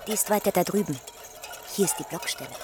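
An actress playing a young signalman's daughter in a radio play, calmly and deadpan telling of eerie things. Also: lowish voice, fast talker, noisy background, close to an everyday voice. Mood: deadpan, foreboding. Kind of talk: German radio play dialogue.

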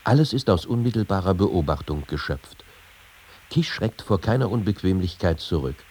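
An actor reading as an admiring contemporary witness in a literary radio feature. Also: male voice, low-pitched, expressive, moderate pace, a little mellow, a little hiss or hum. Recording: music playing clearly behind.

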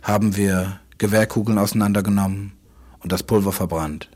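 A man speaking reflective spoken-word radio prose in halting fragments, with a husky voice. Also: lowish voice, fairly even intonation, darkish tone.

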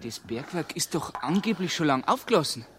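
An actor playing a gruff, commanding rural police inspector in a radio crime play, with a Bavarian accent and a brusque, questioning manner. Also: male voice, fairly high pitch, very animated, fairly quick, slight background noise. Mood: probing. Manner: brusque, questioning, barks orders. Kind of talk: 1990s German radio play acting.

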